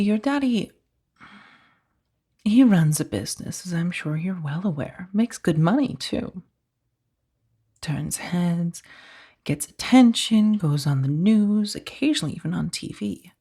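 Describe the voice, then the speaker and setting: A woman playing a powerful, condescending mafia boss in a soft-spoken ASMR roleplay, taunting with mock sweetness and a breathy, husky voice that dips toward whispers. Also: on the low side, exaggerated voice.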